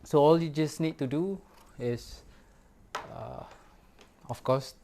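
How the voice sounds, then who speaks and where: Slow, hesitant man reviewing gear. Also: a little high, warm tone, a little hiss or hum. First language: Malay. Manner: unhurried, hesitant, many fillers and restarts. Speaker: record store owner reviewing gear. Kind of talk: casual product review.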